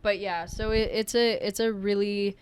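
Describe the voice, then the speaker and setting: A woman's voice: medium pitch, fairly even intonation, normal speed, clean tone. A woman from Hawaii, a podcast guest, talking casually with lots of fillers and hedges. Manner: chatty, lots of "like" and "um" fillers, hedging. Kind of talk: casual conversation.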